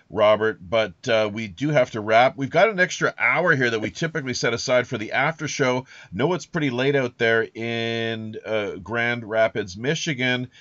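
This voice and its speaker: A man's voice: mid-range voice, fairly bright tone, no room sound, lo-fi sound. A man hosting an unscripted podcast in a rambling, folksy way, with playful pirate lingo. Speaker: podcast host.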